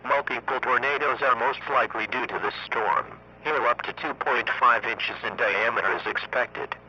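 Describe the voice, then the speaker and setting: A man reading scripted emergency weather warnings in a formal, monotone synthetic voice. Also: mid-range voice, normal speed. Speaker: emergency alert announcer. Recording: muffled lo-fi radio broadcast, faint noise.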